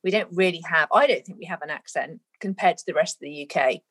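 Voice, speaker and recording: A woman's voice: on the low side, fast talker, fairly bright tone, husky. A woman in her late forties from southern England with a southern English accent, chatting as an interview guest in a friendly, rambling way. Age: 40s. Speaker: guest, stylist. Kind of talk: casual interview chat.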